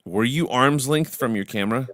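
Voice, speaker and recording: A man's voice: moderate pace, a bit bright, no echo, clean studio sound. A man hosting a livestream in casual, rambling chat, full of crude jokes and filler words. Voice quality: baritone. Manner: rambling, crude joking banter. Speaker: livestream host.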